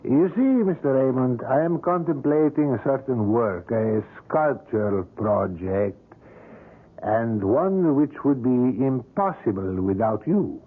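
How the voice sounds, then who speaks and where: Obsessive older sculptor; smooth and persuasive, mid-pitched, thin lo-fi radio sound. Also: male voice, animated, slow. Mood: courtly, insistent. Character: obsessive older male sculptor, suave and insistent. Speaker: sculptor character. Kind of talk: 1970s radio drama acting. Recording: tinny lo-fi old radio broadcast.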